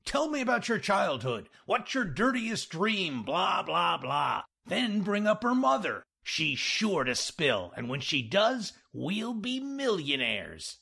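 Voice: mid-range voice, very animated, takes it slowly, gravelly, hardly any pauses, bright, forceful tone, husky, voice pushed up, full character voice. A narrator voicing a brash, impatient male schemer in a comic story, roaring and hissing his lines.

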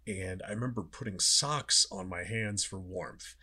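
A man in his forties with a low voice, a voice actor chatting and telling stories in a self-mocking way. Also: a little slow, bright, forceful tone, slightly rough. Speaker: voice actor guest. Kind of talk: casual storytelling chat.

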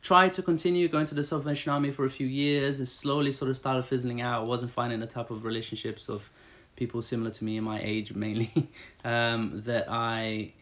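A man's voice: medium pitch, flat delivery, brisk, few pauses, a bit bright. Chatty man, rambling church-staff interview. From Brazil. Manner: chatty, rambling, many fillers and restarts. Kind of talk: casual interview answers.

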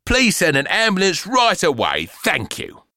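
A man's voice: high voice, huge pitch swings, a little slow, pitched above the performer's own voice, full character voice. A man voicing a flustered, polite father speaking to an emergency operator.

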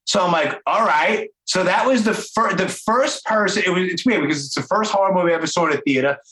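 A man's voice: high voice, fast talker, bright, forceful tone. Enthusiastic forties man, roomy echo. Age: 40s. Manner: enthusiastic, loose, many fillers. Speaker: podcast host. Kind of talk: casual interview chat.

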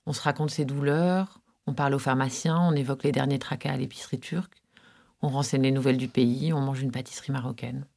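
A woman's voice: extremely low pitch, fairly even intonation, fast, gravelly, warm tone. A woman narrating a podcast in a reflective, literary manner with conversational asides.

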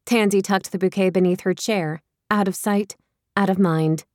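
A woman reading a romantic comedy audiobook with wry, expressive, dramatic phrasing. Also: medium pitch, moderate pace, no echo, clean studio sound. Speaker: audiobook narrator. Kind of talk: scripted fiction narration, romantic comedy.

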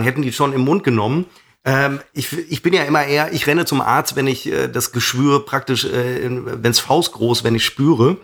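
A man's voice: medium pitch, a bit bright. A middle-aged man with a cold and a slightly nasal voice, co-hosting a podcast, rambling defensively with lots of fillers. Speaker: podcast co-host. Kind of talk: casual podcast banter.

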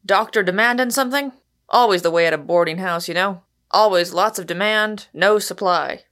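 A woman's voice: medium pitch, normal speed, a bit bright, close to an everyday voice. A woman voicing a cheeky, jovial working-class Cockney man in a storybook, breezy, blunt and slangy. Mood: uproarious, teasing. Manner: breezy, slangy, cheerfully mocking.

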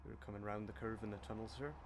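An actor playing a deferential, plain-spoken Victorian engine driver, shaken, in a radio play. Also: male voice, on the low side, level tone, racing, noisy background, close to an everyday voice. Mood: sombre. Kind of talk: dramatic radio play acting.

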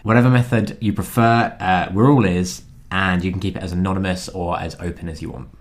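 A young man, co-hosting a podcast in a relaxed, joking way with lots of fillers. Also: deep voice, a little fast, a little hiss or hum. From London. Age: 20s. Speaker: podcast co-host. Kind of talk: casual podcast chat.

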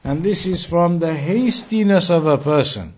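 A man teaching an Islamic lesson, reading out text and explaining it deliberately, with emphatic repetition and rephrasing. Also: a little high, a little mellow. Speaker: religious teacher.

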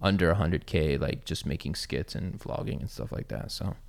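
A man's voice: very deep voice, normal speed, gravelly. A man in his thirties, a sneaker YouTuber, chatting casually and rambling with lots of fillers and swearing. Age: 30s. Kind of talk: casual chat.

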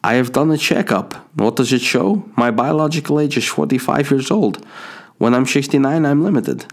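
A man's voice: medium pitch, normal speed, pitched above the performer's own voice, close to an everyday voice. Man putting on a boastful older Dutch man.

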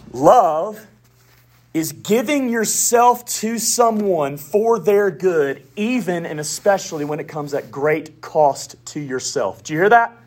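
A man preaching a sermon in a Southern US accent, with emphatic declarations, quiet asides and folksy humor. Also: fairly high pitch. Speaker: pastor.

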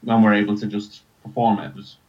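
Irish male interview guest, lowish voice, casual, stop-start delivery, lo-fi sound. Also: little pitch movement, fast talker, darkish tone. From Ireland. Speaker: writer and podcast creator, interview guest.

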